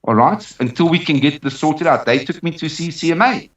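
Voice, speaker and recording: A South African man gives an emphatic account as an interview guest, repeating phrases to press his point. His voice sits slightly high for a man. It comes through dull and very roomy, as if recorded away from the microphone. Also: brisk, fairly bright tone. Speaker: interview guest, dog trainer. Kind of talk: personal account in a live interview. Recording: roomy, distant, dull sound.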